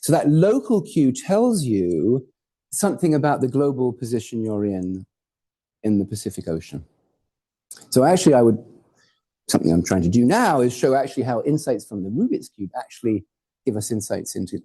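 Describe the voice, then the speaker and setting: A man's voice: mid-range voice, big pitch swings, normal speed, dark tone, breathy edge. A man from Hawaii, raised in Portugal and England, giving an informal, digressive academic lecture with jokes and the odd swear word. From born in Hawaii, raised in Portugal and England. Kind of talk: academic talk with slides. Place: lecture hall.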